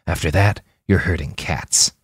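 A hard-bitten woman warrior from a fiction podcast, acted by a man. The voice is deep and gravelly, like a longtime smoker's. The manner is blunt and unyielding, recorded dry and close. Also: measured pace, no room sound, heavily acted. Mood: blunt, firm.

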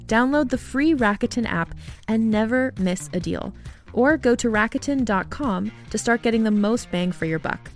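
A woman's voice: on the high side, animated, soft background music. A woman reading a scripted shopping commercial in a friendly promotional tone. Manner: friendly promotional read, spells out name slowly. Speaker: ad narrator. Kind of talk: scripted ad read.

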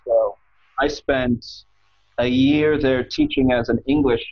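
Interview guest: American male in his thirties, raspy mid-pitched voice, conversational, dull lo-fi sound. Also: fairly even intonation, takes it slowly. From United States. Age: 30s. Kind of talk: casual conversational storytelling.

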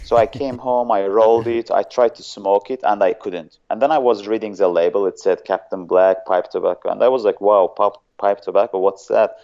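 A man's voice: lowish voice, fairly even intonation, normal speed, hardly any pauses, dark tone, lo-fi sound. A man from Azerbaijan with an Azerbaijani accent, a guest on an interview show who talks hesitantly and often searches for words. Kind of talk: casual interview chat.

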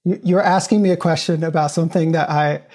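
A man's voice: on the high side, steady tone, fast, a little mellow. A man from the United States, a professor, answering interview questions earnestly and explaining at length. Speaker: guest, professor.

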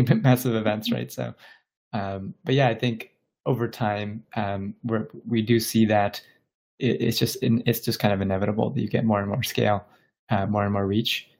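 A man's voice: lowish voice, steady tone, normal speed, gravelly, a little mellow. A man from Bangladesh speaking as an interview guest, explaining things casually and thoughtfully, often searching for his words. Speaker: tech company founder interviewed as podcast guest.

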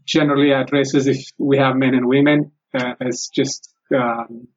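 Husky Ethiopian-accented man, halting speech. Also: mid-range voice, level tone. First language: Amharic. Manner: halting, careful, many pauses. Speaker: guest, former English teacher. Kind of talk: interview answers. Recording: lo-fi recording.

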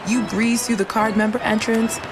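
A woman's voice: on the high side, little pitch movement, normal speed. A woman reading a scripted sports-event commercial as an announcer. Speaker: advertisement announcer. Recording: music and crowd sound behind. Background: music, crowd.

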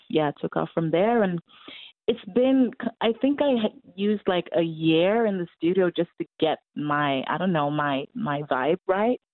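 A woman's voice: lowish voice, no echo. Young Norwegian woman on a tinny line. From Norway. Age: 20s. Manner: hesitant, searching for English words. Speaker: musician guest. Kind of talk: casual interview over a call.

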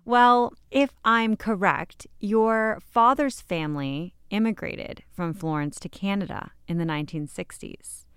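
A woman's voice: mid-range voice, a little slow, hardly any pauses, clean tone. A woman hosting a podcast interview, affirming and encouraging her guest with small acknowledgements. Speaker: podcast host. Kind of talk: interview conversation.

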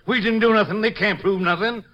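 A man's voice: sits high, fairly quick, pushed and bright, muffled recording. An actor playing a defiant, panicky outlaw father, blustering and rustic, in a 1950s radio western. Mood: pleading, defiant. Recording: noisy, clicking 1950s radio recording.

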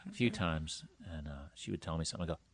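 A man hosting a podcast, asking quick, joking questions in casual chat. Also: a bass voice, vocal fry, no echo. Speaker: podcast host.

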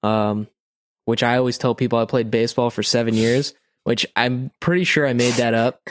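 A man's voice: medium pitch, steady tone, moderate pace, no room sound. An American man co-hosting a podcast in casual, informal conversation, with some mild swearing. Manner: informal, mildly profane. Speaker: podcast co-host. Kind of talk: casual chat.

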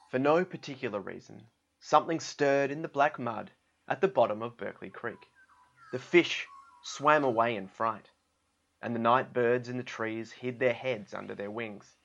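Aussie guy in his 30s reading a kids story, really lively pitch, slightly dull recording. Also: gravelly. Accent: Australian. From Australia. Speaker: guest story reader, actor. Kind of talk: animated children's story reading with casual asides.

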